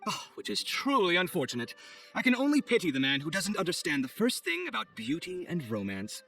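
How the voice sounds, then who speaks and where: A proud young architect from a video game, easily exasperated and haughty. The voice is fairly high for a male, sharp and forceful, swinging dramatically in pitch. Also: huge pitch swings, a little fast, faint music behind, heavily acted. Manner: indignant, theatrical, haughty.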